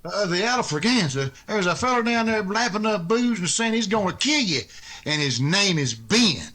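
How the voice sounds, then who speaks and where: High-voiced anxious sidekick. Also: male voice, big pitch swings, normal speed, bright, forceful tone, full character voice. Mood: urgent. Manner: folksy, hesitant. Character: anxious, simple, loyal male deputy sidekick in the Old West. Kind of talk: old-time radio western acting.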